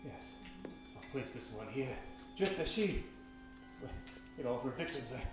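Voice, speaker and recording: A man's voice: medium pitch, expressive, unhurried, lightly acted. A man playing a pompous, villainous prison warden in a theatrical old-time radio melodrama. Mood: bewildered. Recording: very echoey room, faint music.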